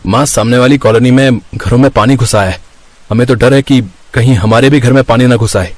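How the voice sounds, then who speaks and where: A man's voice: mid-range voice, fairly even intonation, a little fast, no room sound, natural voice. A man playing a young husband in a family story, earnest and plain-spoken. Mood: concerned.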